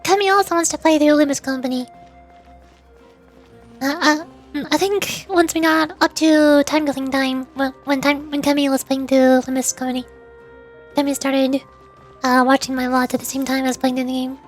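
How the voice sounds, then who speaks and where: A woman's voice: sits high, steady tone, moderate pace, faint music behind. A woman livestreamer chatting casually over a game in a playful, theatrical way. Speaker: vtuber streamer.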